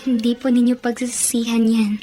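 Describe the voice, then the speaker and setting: A woman's voice: fairly high pitch, monotone, brisk, loud music behind the voice, natural voice. A Filipino actress playing a humble, forgiving woman who speaks deferentially in a radio drama. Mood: humble, moved. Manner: deferential, modest. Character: humble, forgiving, respectful younger woman helper.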